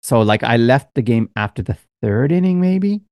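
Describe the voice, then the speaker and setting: A man's voice: medium pitch, lively intonation, normal speed, a little mellow, no echo. A man co-hosting a podcast, telling a long, rambling story in casual chat with lots of fillers. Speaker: podcast co-host.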